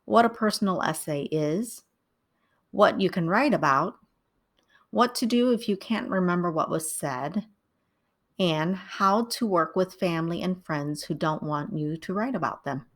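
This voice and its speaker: Calm, measured woman teaching writing. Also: on the low side. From Vietnam, raised in the United States. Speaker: writing tutor. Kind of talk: scripted instructional narration.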